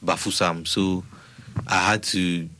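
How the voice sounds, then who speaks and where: A Nigerian musician telling stories in a relaxed, rambling way during a casual interview. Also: male voice, low-pitched, slow, slight background noise. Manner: relaxed, rambling storytelling with restarts. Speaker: musician guest.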